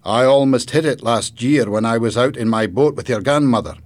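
A man voicing a kindly older grandfather in a storybook, proud and a little sad, speaking in an emphatic, deliberate way. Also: mid-range voice, pitched above the performer's own voice, close to an everyday voice.

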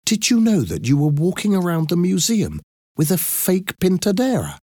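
A man puts on a worried storybook woman who can hardly believe things. The voice leaps high with exclaiming, questioning swoops, recorded dry and close. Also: wildly swooping pitch, normal speed, warm tone, no echo, heavily acted. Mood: amazed. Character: incredulous, worried adult sister in a story.

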